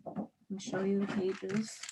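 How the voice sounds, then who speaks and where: A woman teacher with a husky voice reading aloud and patiently putting simple guiding questions to a student. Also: medium pitch, flat delivery, measured pace, background noise. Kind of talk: read-aloud and one-on-one comprehension questions.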